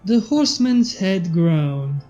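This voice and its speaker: A woman's voice: fairly low pitch, lively intonation, slow. A young adult woman reading a story aloud as a narrator. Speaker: fanfiction reader and channel host. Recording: slightly dull audio, soft background music.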